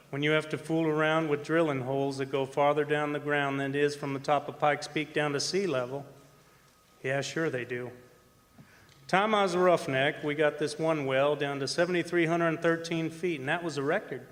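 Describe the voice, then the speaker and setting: A man playing a folksy, rambling, middle-aged former oil-field roughneck telling his story in a radio play. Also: fairly high pitch, fairly bright tone, lightly acted. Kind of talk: radio drama monologue to a guest. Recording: hall reverb, music behind.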